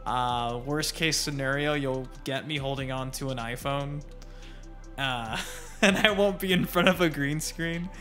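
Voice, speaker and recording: A man's voice: medium pitch, a little slow, fairly bright tone. A man hosting a gaming channel, giving informal, rambling commentary on a gameplay trailer and talking straight to viewers. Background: music.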